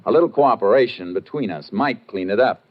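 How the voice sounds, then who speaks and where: Low-voiced, terse Texas Ranger. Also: male voice, expressive, a little fast. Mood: firm. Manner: terse, matter-of-fact, firm. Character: steady, businesslike male Texas Ranger investigator and narrator. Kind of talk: 1950s radio drama acting and narration. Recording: muffled, noisy 1950 radio recording.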